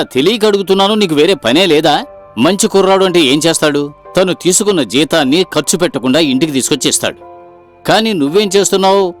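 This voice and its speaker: Fast-talking, stern scolding father played by a man. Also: on the high side, close to an everyday voice. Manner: scolding, blunt. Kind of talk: dramatised cartoon moral story dialogue.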